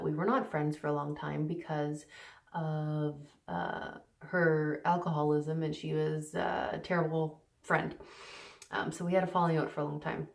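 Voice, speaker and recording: A woman with a low voice talks loosely to camera from her stairs at home, full of ums and restarts and at times hushed. The sound is roomy and echoey, with the mic set back from her. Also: creaky. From Canada. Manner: rambling, many fillers and restarts, partly hushed. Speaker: recovery vlogger. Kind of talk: casual unscripted talk to camera. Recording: echoey, mic some distance away.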